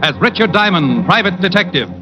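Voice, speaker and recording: A man's voice: high-pitched, expressive, brisk. A man announcing an old radio show in a formal, declamatory scripted style. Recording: dull vintage radio recording. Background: music.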